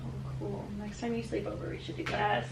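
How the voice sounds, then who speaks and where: A young woman opening gifts with a friend, gushing and excitable. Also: mid-range voice, brisk, vocal fry, recorded from a distance. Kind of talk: casual chat. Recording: echoey room, off-mic, loud noise.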